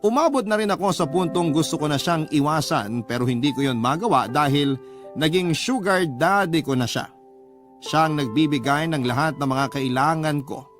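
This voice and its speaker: Male radio storyteller, slightly high voice, dramatic first-person love story narration over a clear music bed. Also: animated, normal speed, fairly bright tone.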